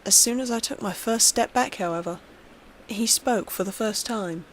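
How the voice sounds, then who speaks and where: A woman's voice: mid-range voice, normal speed, a little hiss or hum, low-quality recording. A woman reading a scripted horror story aloud as its narrator.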